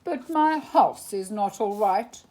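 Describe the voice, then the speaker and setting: A woman's voice: medium pitch, expressive, takes it slowly, darkish tone, low-quality recording. A woman doing a fussy, formal old owl. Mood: polite. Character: wise old male owl, fussy and polite.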